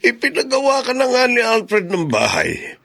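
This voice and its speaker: Weary, gravelly older father. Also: very high-pitched, huge pitch swings, moderate pace, fairly bright tone, full character voice. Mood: angry, pleading. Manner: earnest, pleading, emotional. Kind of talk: radio drama acting.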